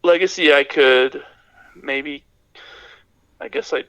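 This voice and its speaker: A man with a raspy voice from being sick, chatting casually and hesitantly as a podcast guest, with dry, self-deprecating humor. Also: on the high side, slow pace, a bit bright, no room sound, low-quality recording. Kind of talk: casual chat, reflective.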